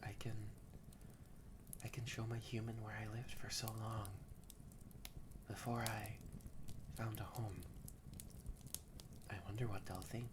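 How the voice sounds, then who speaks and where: A woman voicing a haughty young male catboy who is secretly soft-hearted, bratty and bossy but easily flustered, in a scripted roleplay audio drama spoken to the listener. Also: extremely low pitch, flat delivery, unhurried, pauses often, noisy background. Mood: soft, wistful.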